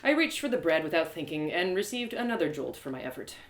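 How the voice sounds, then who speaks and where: A woman narrating a first-person story live, in an expressive, theatrical storytelling manner. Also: low voice, quick pace, roomy sound. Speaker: storyteller, audio drama creator.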